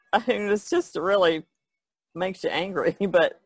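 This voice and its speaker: A woman's voice: fairly low pitch, takes it slowly, husky, dry recording. A woman chatting casually as a panelist on a podcast. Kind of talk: casual chat.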